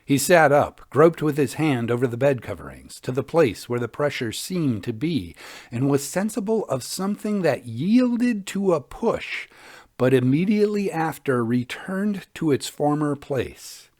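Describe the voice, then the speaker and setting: A man narrating ghost stories in a measured, dramatic reading style with deliberate pauses. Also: a little high, very animated, a little mellow. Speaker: narrator and host. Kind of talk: scripted spooky story narration.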